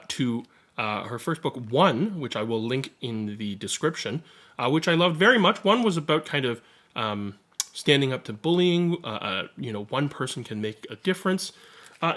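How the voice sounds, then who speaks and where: A host reading a picture book aloud with animated narration and chatting casually about it. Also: medium pitch.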